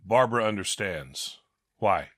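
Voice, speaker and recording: A man playing a principled police captain who speaks calmly and firmly. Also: fairly low pitch, measured pace, dry recording, clean studio sound. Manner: calm, firm.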